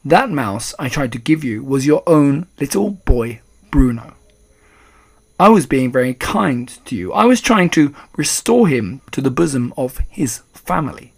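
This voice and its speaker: A man voicing a calm, frosty old Norwegian grandmother in a storybook, matter-of-fact and unflustered. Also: medium pitch, unhurried, gravelly, breathy edge, heavily acted.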